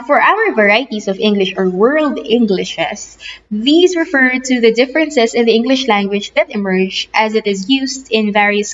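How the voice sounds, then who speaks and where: A woman's voice: on the high side, a little hiss or hum. A young adult woman with a Filipino accent, teaching a recorded lesson and explaining step by step, now and then repeating words while she thinks. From Philippines. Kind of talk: recorded online lecture. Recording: slightly dull lo-fi audio.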